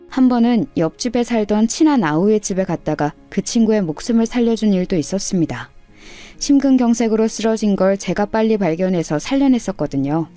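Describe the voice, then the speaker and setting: A woman's voice: mid-range voice, mellow. A woman reading a scripted first-person life story in a radio story style. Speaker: storyteller narrator.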